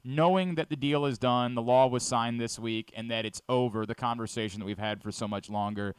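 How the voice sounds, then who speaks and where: A man's voice: mid-range voice, steady tone, fairly quick, smooth, no echo. A man with an American accent hosting a conversational sports radio interview.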